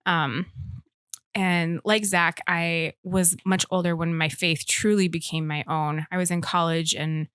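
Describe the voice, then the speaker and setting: A woman's voice: fairly low pitch, level tone, unhurried, fairly bright tone, clear, resonant tone, no echo, studio quality. A young woman who grew up in Indonesia, sharing her personal faith story earnestly as an interview guest. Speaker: guest, aspiring missionary.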